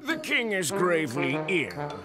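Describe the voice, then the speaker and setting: A woman voicing a grave, dutiful palace servant in an animated storybook, speaking solemnly and slowly in a low voice. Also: exaggerated voice. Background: music.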